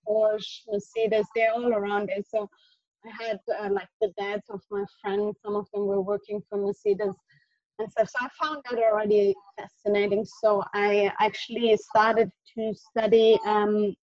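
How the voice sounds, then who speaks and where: A woman's voice: mid-range voice, a little mellow, dry recording. German-accented woman, dull dry audio. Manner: hesitant, many restarts. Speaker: guest engineer. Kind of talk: casual interview answers.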